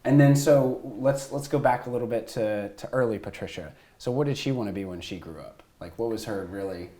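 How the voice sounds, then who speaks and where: A man's voice: lowish voice, brisk, warm tone, roomy sound. A man hosting an interview podcast, asking loose, rambling questions full of fillers. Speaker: podcast host. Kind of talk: casual interview.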